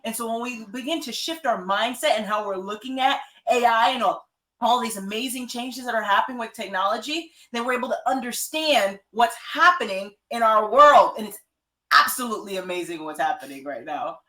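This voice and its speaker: A woman gives an interview as a guest. She speaks with animated emphasis and leans hard on key points. Her voice comes over a video call and rings with noticeable room echo. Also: fairly high pitch, fairly quick. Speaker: guest, author and life coach. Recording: echoey room.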